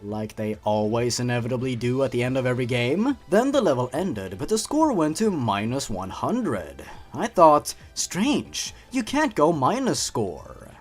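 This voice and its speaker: Male online story narrator, sarcastic and highly animated, mock-dramatic delivery over background music. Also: moderate pace, few pauses. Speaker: YouTube creepypasta reader and commentator. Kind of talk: scripted commentary and dramatic story reading.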